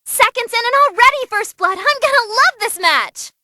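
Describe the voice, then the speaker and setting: A woman voicing a bubbly, bloodthirsty young female tournament announcer in a video game, commenting on fights with gleeful, teasing theatrical excitement. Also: very high-pitched, normal speed, dry recording, clean studio sound, full character voice. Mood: excited.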